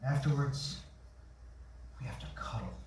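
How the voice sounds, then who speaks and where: A man's voice: medium pitch, measured pace, close to an everyday voice. A man in a staged play reading, playing a sly, lecherous single man on dates with a sardonic, theatrical manner. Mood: smooth, yearning. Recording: echoey theatre, far mic, faint noise.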